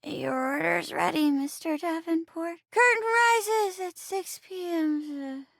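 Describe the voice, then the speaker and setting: High, bubbly theatrical chair. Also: female voice, slow pace, gravelly, a bit bright, no echo, clean studio sound, full character voice. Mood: bubbly, eager. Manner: bubbly, dramatic, stagey. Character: perky theatrical living chair, eager young stage actress. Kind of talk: animated dating-game character voice acting.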